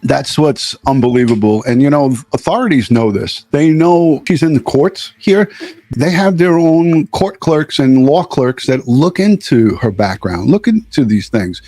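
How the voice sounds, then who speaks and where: A man's voice: medium pitch, darkish tone, no room sound. A man hosting a live true crime commentary, speaking bluntly and with strong opinions. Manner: blunt, swearing.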